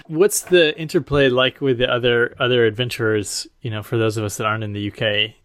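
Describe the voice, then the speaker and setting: A man's voice: mid-range voice, fairly even intonation, fairly quick, a bit bright. A man hosting a podcast, introducing and interviewing his guest. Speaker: podcast host.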